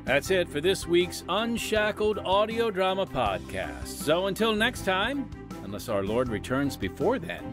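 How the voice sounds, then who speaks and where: A man's voice: sits high, very animated, a little slow, music in the background. A man hosting a podcast, reading scripted narration and announcements.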